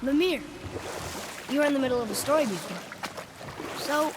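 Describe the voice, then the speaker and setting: A man's voice: very high voice, lively intonation, moderate pace, noisy background. A young male actor playing a curious, cocky boy, the son of a god, in a video game. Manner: inquisitive, youthful, casual.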